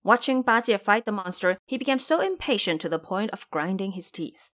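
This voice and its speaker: A woman narrating a scripted story with expressive, measured, dramatic storyteller delivery. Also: mid-range voice.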